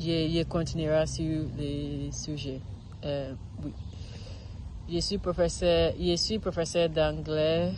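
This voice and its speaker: Slow, halting woman outdoors. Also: sits low, darkish tone, slight background noise, rough recording. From Ghana. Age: 30s. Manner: halting, searching for words, frequent restarts. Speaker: vlogger learning French. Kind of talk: casual self-filmed practice monologue. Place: outdoors in a park.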